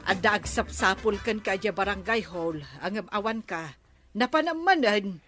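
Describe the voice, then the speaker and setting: A woman's voice: mid-range voice, animated, a little slow, a bit bright, soft background music. A woman with an Ilocano accent playing a village captain's nagging, skeptical wife in a Filipino comedy radio drama. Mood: scolding.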